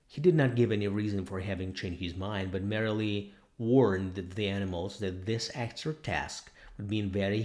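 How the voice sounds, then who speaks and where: Male audiobook narrator, lowish pitch, scripted literary storytelling. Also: darkish tone.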